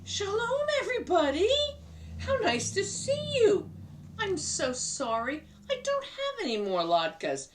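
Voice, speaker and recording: Lady putting on a sweet old granny voice, high and sing-songy, lots of swoop, slow. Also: wildly swooping pitch, distant mic, a little hiss or hum, heavily acted. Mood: fond. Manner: high, sing-song and fussing. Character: kindly old grandmother, warm and teasing. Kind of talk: storybook read-aloud.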